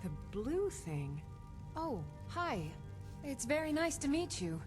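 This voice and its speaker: A woman's voice: high voice, sing-song, slow pace, heavily acted. A woman playing a dutiful, earnest warrior servant in a fantasy video game, plain-spoken. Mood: puzzled. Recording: clear music under the voice.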